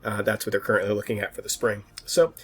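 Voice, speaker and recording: A man with a slightly stuffed-up voice presenting an informal weather forecast as host, often halting and restarting as he thinks aloud. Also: lowish voice, flat delivery, a bit bright, breathy edge.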